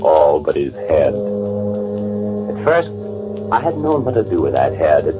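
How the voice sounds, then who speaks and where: An actor playing a bitter, gloating murderer who poses as his twin, confessing in halting first-person 1940s radio drama narration. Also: fairly low pitch, sing-song. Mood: grim, matter-of-fact. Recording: tinny vintage radio recording with music.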